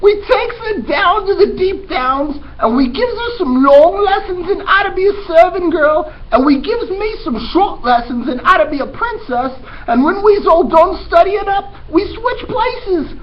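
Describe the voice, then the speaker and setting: A man voicing a slow-witted, eager young serving girl from a storybook in a shrill, strained, childish falsetto. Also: expressive. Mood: excited, scheming. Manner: loud, childish, ungrammatical delivery. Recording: muffled recording with background noise.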